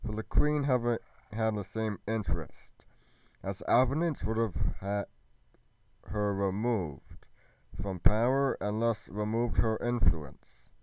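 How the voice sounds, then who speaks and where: A man playing an earnest adventurer in a fantasy roleplay drama, speaking in deliberate, halting, storybook phrases. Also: fairly low pitch, darkish tone, clean tone, no echo, low-quality recording. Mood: grave, explanatory. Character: earnest male adventurer musing over royal secrets. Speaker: voice actor in a fan-made Minecraft roleplay. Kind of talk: scripted dramatic roleplay dialogue.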